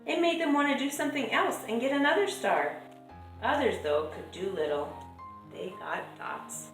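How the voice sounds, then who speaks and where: Woman, children's storybook read-aloud, measured lilting delivery, slightly high voice, echoey, soft music behind. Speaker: storybook reader. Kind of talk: expressive read-aloud of a children's picture book.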